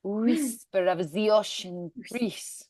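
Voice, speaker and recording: A woman voicing an amorous, flamboyant male cartoon skunk with an exaggerated French accent, nasal vowels and drawn-out seductive phrasing. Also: medium pitch, a little mellow, no echo, clean studio sound.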